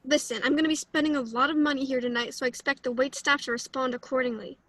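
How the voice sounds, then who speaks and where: Casting: woman as cocky secret agent, high dry-sounding voice, self-important, comedic stage script. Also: steady tone, fast, fairly bright tone, no echo. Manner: self-important, flustered when challenged. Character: cocky, would-be suave secret agent gambler. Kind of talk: comedic live play reading.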